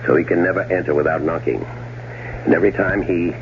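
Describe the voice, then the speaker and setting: An actor playing a domineering, cold-blooded schemer in a radio drama, commanding, deliberate and condescending. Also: male voice, sits low. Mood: cold, scheming. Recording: muffled, noisy old radio recording.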